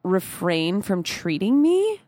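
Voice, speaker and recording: A woman's voice: medium pitch, very animated, slow, dark tone, no room sound, clean studio sound. A young woman with a head cold, co-hosting a casual podcast, chatty and full of "like" fillers and laughs. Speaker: podcast co-host. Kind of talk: casual chat.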